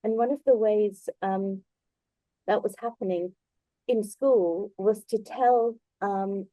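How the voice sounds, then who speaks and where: A middle-aged or older native Bengali-speaking woman giving a reflective, conversational talk, pausing and feeling her way through her thoughts. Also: mid-range voice, steady tone, dark tone, no echo, poor audio. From Bangladesh in early childhood, then moved abroad. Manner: hesitant, thoughtful, halting phrasing. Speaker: invited speaker at a book launch.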